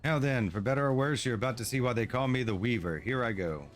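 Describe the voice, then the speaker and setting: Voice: medium pitch, quick pace, soft background music, natural voice. An actor voicing a haughty fae guardian. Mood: theatrical. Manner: aloof and theatrical. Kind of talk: game cutscene voice acting.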